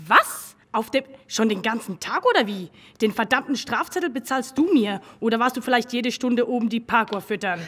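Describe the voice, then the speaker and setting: An actress playing an angry neighbour scolding someone with sharp sarcasm. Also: female voice, fairly high pitch, lively intonation, moderate pace, few pauses. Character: angry female neighbour, nagging.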